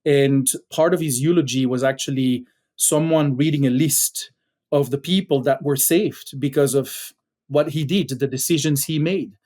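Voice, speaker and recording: A middle-aged man from Belgium with a Belgian accent, a podcast guest telling a story in a halting way with many fillers. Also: medium pitch, warm tone. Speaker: podcast guest, company founder.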